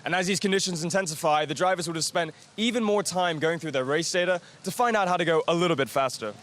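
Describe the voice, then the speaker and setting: A man's voice: on the high side, a little hiss or hum. A man narrating a motorsport series in a polished, scripted voice-over. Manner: measured, polished announcing. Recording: voice-over with loud music bed.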